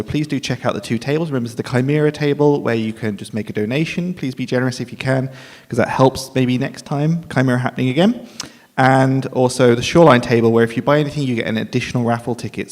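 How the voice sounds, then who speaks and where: A man's voice: mid-range voice, darkish tone. A man hosting a live event, making informal announcements to an audience. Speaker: event host. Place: live on stage in an arts venue. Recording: reverberant hall with audience around.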